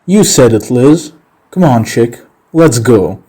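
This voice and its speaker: A man's voice: mid-range voice, lively intonation, slow pace, mellow, husky. A man playing a cocky teenage bully in a comic book dub, mocking and swaggering as he taunts. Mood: mocking, dismissive.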